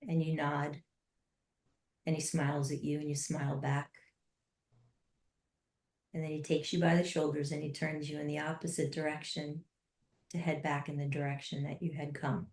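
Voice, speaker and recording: Woman, low voice, flat and even, slow soft guided meditation, roomy echo. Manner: slow, soft and unhurried with long pauses.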